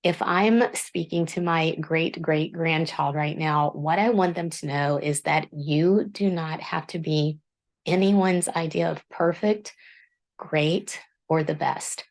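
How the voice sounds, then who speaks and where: A woman author hosting her book channel, speaking in a low voice in an earnest, self-deprecating, measured monologue. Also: fairly even intonation. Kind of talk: reflective scripted monologue.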